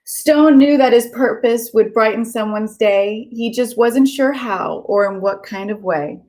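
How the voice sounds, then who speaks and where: A woman children's author chatting casually and reading aloud to children, with an excitable manner. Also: fairly high pitch, unhurried, low-quality recording. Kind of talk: casual chat and animated read-aloud for children.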